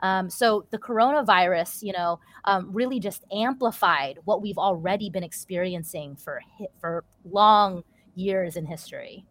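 A woman's voice: mid-range voice, moderate pace, few pauses, a bit bright, no room sound. An articulate, assertive woman speaking earnestly in a panel discussion.

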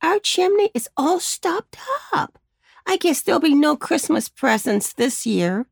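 A downcast little boy from a storybook, voiced by a woman, sighing as he talks. His voice sits high and slow. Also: sing-song, vocal fry, no echo, studio quality, full character voice. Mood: glum. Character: sad little boy without Christmas.

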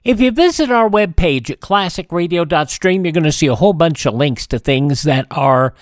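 A man hosting a radio programme with casual, halting, unscripted announcements. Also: fairly high pitch, expressive, no echo, studio quality. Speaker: host of a classic radio programme.

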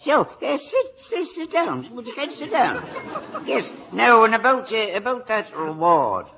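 An actor playing a blustering, gruff Irish police sergeant and father in a 1950s radio play. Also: very high voice, big pitch swings, unhurried, pushed and bright, a faint crowd, slight background noise, full character voice. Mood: flustered, eager. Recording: muffled old radio broadcast.

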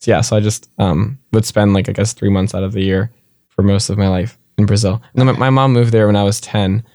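A man's voice: fairly low pitch, steady tone, brisk, darkish tone, dry recording, studio quality. A young man who grew up in South Florida, a college student guest chatting casually and rambling, with lots of filler words. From South Florida, United States. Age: young adult. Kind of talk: casual conversational interview.

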